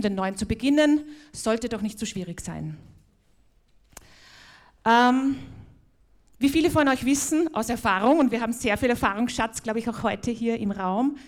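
A middle-aged woman with a Viennese accent opens a conference as host, talking loosely with many fillers and restarts. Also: on the high side. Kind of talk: opening speech at a conference. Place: conference hall.

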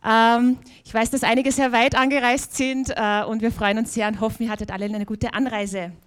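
A middle-aged woman with a Viennese accent welcomes a conference crowd as host. Her voice sits a little high, and she talks freely with plenty of "ähm"s and self-corrections. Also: flat delivery, normal speed, clean tone. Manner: friendly, loose spoken welcome with many fillers and restarts. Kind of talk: opening speech at a conference. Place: conference hall.

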